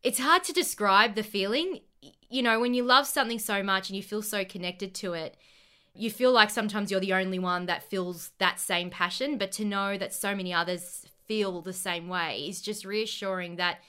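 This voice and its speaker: An Australian woman in her late twenties tells her story on a podcast with bubbly earnestness, breaking into laughter often. Her voice is bright and forceful, smooth and crisp, sitting at an ordinary pitch. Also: steady tone, normal speed. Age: 20s. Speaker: podcast guest, co-founder. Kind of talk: casual podcast chat.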